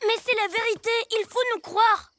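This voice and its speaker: A woman voicing an excitable, naive six-year-old boy superhero in a French cartoon, with boyish exclamations. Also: extremely high pitch, normal speed, a little hiss or hum. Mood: earnest. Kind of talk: French cartoon dub acting.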